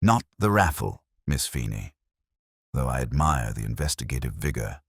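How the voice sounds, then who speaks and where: Mild, unflappable detective inspector, read by a male narrator, very deep, polite, dry wit, mystery audiobook. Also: sing-song, moderate pace, slightly rough, dry recording, heavily acted. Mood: mild, diplomatic. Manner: mild, polite, dryly understated. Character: calm, courteous, diplomatic male police inspector.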